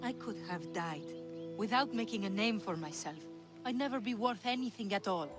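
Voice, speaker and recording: A woman's voice: medium pitch, lively intonation, moderate pace, close to an everyday voice. An actress playing a bold, self-assured young woman who yearns for heroic glory, in video game cutscene dialogue over music. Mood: anxious, shaken.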